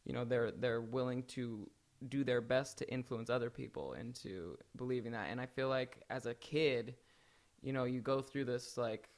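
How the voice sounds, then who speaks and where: A man's voice: mid-range voice, fairly even intonation, normal speed. A young man, a singer, answering interview questions casually with many restarts and fillers. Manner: hesitant, many restarts and fillers, laughs easily. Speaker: singer in a band.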